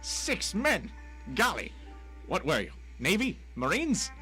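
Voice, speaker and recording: A man's voice: high-pitched, wildly swooping pitch, slow, pushed and bright, faint music behind, heavily acted. A man playing a wisecracking, hapless private detective in a noir radio drama, theatrical and full of old-timey slang. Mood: excitable. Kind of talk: hard-boiled noir radio drama narration.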